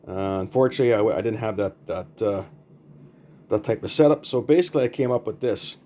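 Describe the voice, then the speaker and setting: An older man with a relaxed, mellow voice talks casually through a homemade gadget. He rambles a little, doubles back on his words and keeps it plain-spoken. The amateur recording carries some faint background noise. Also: on the low side, fairly quick. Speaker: hobbyist demonstrating a homemade radio mount. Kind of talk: casual unscripted show-and-tell.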